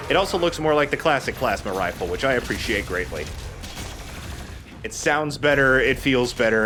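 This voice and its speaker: A man's voice: on the low side, bright, forceful tone, a little hiss or hum. A man giving casual, opinionated commentary over a video game, explaining how it works as he goes. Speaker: host of a video game let's play.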